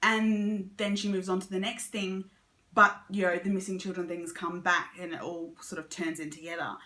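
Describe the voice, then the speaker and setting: A woman's voice: lowish voice, level tone, normal speed, bright, forceful tone, reverberant. A woman giving a chatty, casual book review as a vlogger. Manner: chatty, conversational.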